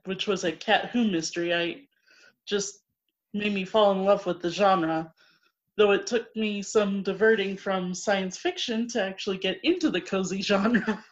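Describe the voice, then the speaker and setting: A woman hosting a podcast, friendly and eager, interviewing an author. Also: medium pitch. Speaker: podcast host. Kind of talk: casual interview chat. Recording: dull lo-fi audio.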